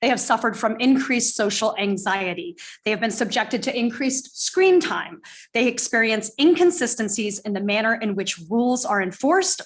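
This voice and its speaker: A woman giving a firm, pointed public comment as a parent at a school board meeting, speaking loudly into a podium microphone. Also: a little high. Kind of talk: reading a prepared public statement.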